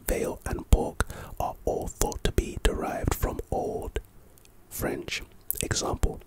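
Softly whispering man teaching vocabulary. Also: very high-pitched, poor audio. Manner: playful asides while reading. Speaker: ASMR host teaching vocabulary. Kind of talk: whispered ASMR lesson.

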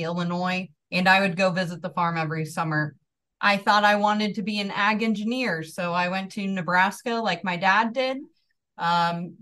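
A woman's voice: lowish voice, fairly bright tone. Earnest American woman, slightly distant mic. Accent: General American. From Northeast Georgia, USA. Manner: earnest, with frequent ums. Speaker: farm transition specialist guest. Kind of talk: conversational interview storytelling.

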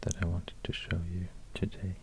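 Hushed, low Swedish-accented man, whispering. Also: completely flat delivery. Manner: hushed, unhurried, halting. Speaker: ASMR host. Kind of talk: soft-spoken whispered ASMR. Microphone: close binaural microphone.

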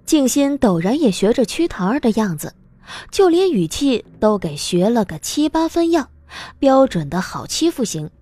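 Lively woman narrating a story. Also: on the high side, normal speed, no echo. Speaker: audiobook narrator. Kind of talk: expressive scripted story narration.

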